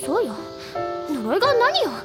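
An actress playing a bookish teenage girl who is bossy and excitable, growing whiny when flustered. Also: very high voice, moderate pace, heavily acted. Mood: determined. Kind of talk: drama CD acting. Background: music.

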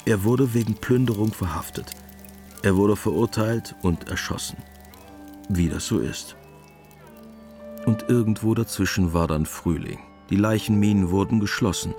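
A man plays a weary war veteran telling his memories in a radio drama. The voice is low and laconic, with a wry resignation, over clear music. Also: moderate pace, a little mellow, close to an everyday voice. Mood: resigned. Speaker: narrator. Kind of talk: literary radio drama narration.

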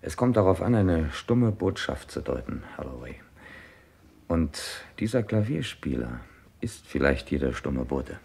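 An actor playing a calm, courteous, methodical chief inspector in a German radio crime play, speaking in a measured, musing way. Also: male voice, a bass voice, creaky, slightly rough, full character voice. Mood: musing, assured.

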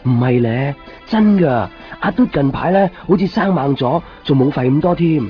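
A man's voice: on the high side, expressive, moderate pace, natural voice. An actor playing an eager, shy young copy-shop man in a Cantonese radio drama, earnest and prone to stammer and repeat himself. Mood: reflective, hopeful. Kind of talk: Cantonese radio drama dialogue and inner narration. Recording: muffled 1990s radio broadcast, music underneath. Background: music.

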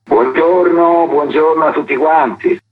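A man speaking as a theatre director in a radio interview, explaining his work, with a husky voice and dull sound. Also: fairly high pitch, fairly even intonation, a little slow.

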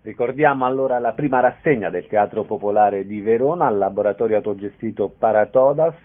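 A man's voice: mid-range voice, narrow, tinny audio. Male radio interviewer, band-limited sound.

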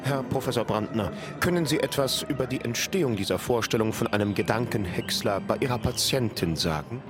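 An actor playing a calm, probing interviewer who asks attentive, measured questions in a documentary radio play. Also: male voice, mid-range voice, over music, close to an everyday voice.

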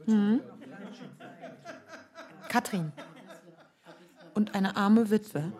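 An actress playing a resolute, teasing young widow in colloquial radio play dialogue. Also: female voice, medium pitch, drawn-out words, dark tone. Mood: laconic, teasing.

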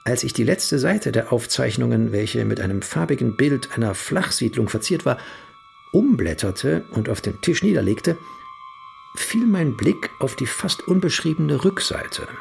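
Obsessive male researcher, played by an actor, radio drama monologue: formal, theatrical, swooping intonation, creaky edge, music underneath. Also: medium pitch, animated, unhurried. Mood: excited, formal.

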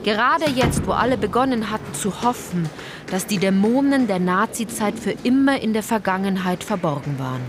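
An actress as a sober, morally pointed narrator in a scripted radio play. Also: female voice, mid-range voice, lively intonation, normal speed, noisy background, lightly acted. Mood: sober, reproachful. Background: prominent music.

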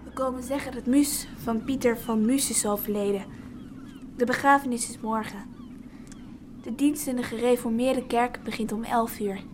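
A woman's voice: a little high, steady tone, moderate pace, background noise, natural voice. An actress playing an earnest, doubtful young village woman in a radio drama, talking in a confiding way. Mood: sober. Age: young adult. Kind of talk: Dutch radio drama acting.

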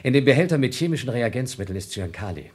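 An actor playing a scheming, desperate crewman on a space station in a German radio play, husky and insistent. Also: mid-range voice, sing-song, fast talker, a little mellow, natural voice. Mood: grimly calm. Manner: domineering, theatrical, insistent.

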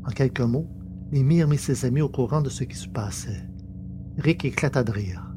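A man's voice: mid-range voice, moderate pace. Male story narrator, noisy humming recording. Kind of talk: scripted literary narration of science fiction. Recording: clearly noisy background with hum.

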